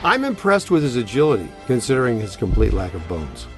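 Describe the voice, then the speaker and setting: An actor playing a sarcastic, flirty middle-aged ghost hunter in a video game, with a deadpan, offhand delivery. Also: male voice, mid-range voice, brisk, faint music behind. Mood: dry, joking. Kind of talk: video game character acting.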